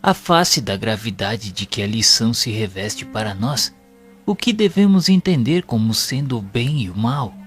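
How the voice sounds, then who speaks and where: An actor with a Brazilian accent playing a humble, reflective disciple who narrates an audio drama in formal, respectful literary language. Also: male voice, medium pitch, big pitch swings, normal speed, soft background music, lightly acted. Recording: long hall-like reverb on voice.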